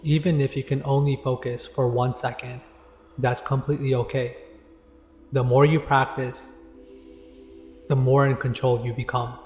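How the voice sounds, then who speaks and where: An echoey, reverberant recording of a man guiding a meditation over music. His delivery is calm and deliberate, with little movement in pitch, leaving pauses between instructions. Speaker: meditation guide. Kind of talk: guided meditation narration. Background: background music.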